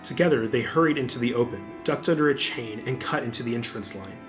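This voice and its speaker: A man's voice: mid-range voice, fast, over music. A man narrating a scripted adventure audiobook as the storyteller.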